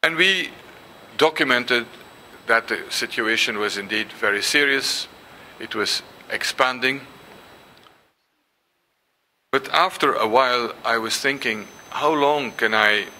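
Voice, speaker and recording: An older man whose first language is Dutch gives a symposium lecture, pausing often with ums and restarts and reading passages aloud. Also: medium pitch, bright, forceful tone, slight background noise. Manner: hesitant, many ums and restarts. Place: lecture hall.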